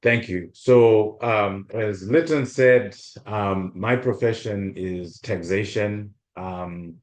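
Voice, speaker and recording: A man's voice: fairly low pitch, slow pace, roomy sound. A man in his forties from Zimbabwe giving an informal workshop talk over a video call, full of ums and restarts. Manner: hesitant, many ums and restarts. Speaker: guest speaker.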